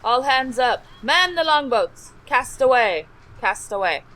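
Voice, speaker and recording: Woman as a bright-voiced, alert ship's sailor. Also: a little high, sing-song, a little slow, slight background noise, rough recording. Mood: calling out. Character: dutiful, alert ship's lookout sailor calling to the captain. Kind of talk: audio drama acting.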